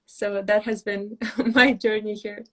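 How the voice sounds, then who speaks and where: A young woman from Moldova, a pageant titleholder, chatting earnestly on a live video call with soft laughs and fillers. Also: medium pitch, steady tone, a little slow. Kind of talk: casual live video chat.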